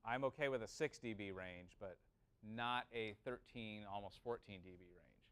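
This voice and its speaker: A man's voice: fairly low pitch, normal speed, fairly bright tone, clean tone, dry recording. A man giving an informal technical lesson, thinking aloud and checking in with short questions. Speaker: teacher of audio engineering. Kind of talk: informal classroom lesson, working through a calculation aloud.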